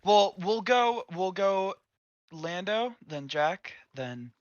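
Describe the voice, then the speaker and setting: A man's voice: high-pitched, lively intonation, takes it slowly, no room sound, dull audio. A man moderating a casual roleplay debate with a sore throat, flustered as he tries to keep everyone in order.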